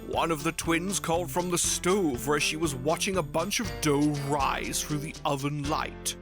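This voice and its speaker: A woman's voice: low voice, a little slow, over music. A woman reading scripted storybook narration as the narrator.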